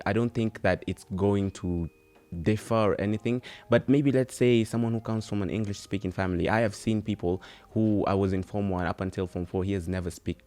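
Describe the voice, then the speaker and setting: A man's voice: on the low side, darkish tone. A young man from Kenya answering interview questions in a loose, chatty way, often checking in with "right?". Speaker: guest.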